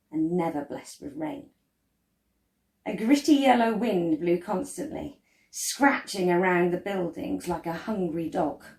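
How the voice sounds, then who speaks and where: A woman reads a children's story aloud expressively, with deliberate phrasing and dramatic pauses. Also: fairly low pitch. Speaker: storyteller reading a picture book. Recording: roomy, echoey indoor sound.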